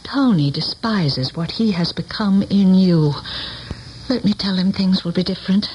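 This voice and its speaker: A woman's voice: medium pitch, a little slow, a little mellow, natural voice. An actress playing an earnest, insistent idealistic woman in a radio drama, speaking articulate American English with dramatic delivery. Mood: urgent, pleading. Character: earnest, intense idealistic woman, a former student. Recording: noisy old radio broadcast.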